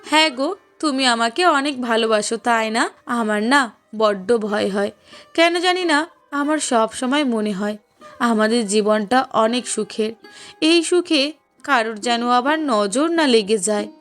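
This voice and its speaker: A woman voices a caring young wife in a cartoon family drama. Her voice is high and sweet, with a coaxing, emotive delivery, over a music bed. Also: a little slow, a bit bright. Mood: coy, tender. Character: loving young wife, expectant mother, caring and anxious. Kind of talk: cartoon dialogue acting.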